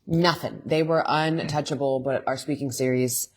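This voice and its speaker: Deep voiced midwest woman, 40s, lively storyteller cohosting a morning show, keeps restarting sentences. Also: normal speed. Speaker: co-host. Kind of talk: casual morning show chat.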